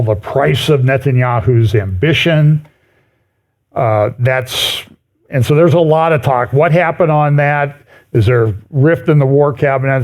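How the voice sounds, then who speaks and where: Male church speaker, mid-range voice, conversational and halting, commenting informally on articles. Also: mid-range voice, darkish tone. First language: English. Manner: halting, conversational, often breaks off mid-phrase. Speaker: speaker giving a prophecy update at a chapel.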